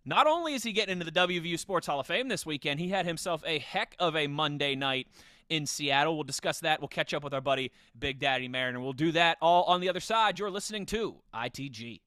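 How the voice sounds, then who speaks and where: A man hosts a sports podcast with a crisp, clean voice. His delivery is conversational and enthusiastic, and the sound is very dry, with no room around it. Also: on the high side, lively intonation, quick pace, pushed and bright, no room sound. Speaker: podcast host.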